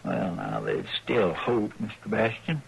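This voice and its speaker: An actor playing a simple, kindly Western deputy sidekick with a countrified drawl in a 1950s radio drama. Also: male voice, lowish voice, huge pitch swings, a little slow, creaky, slightly rough, heavily acted, poor audio. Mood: sorrowful, folksy.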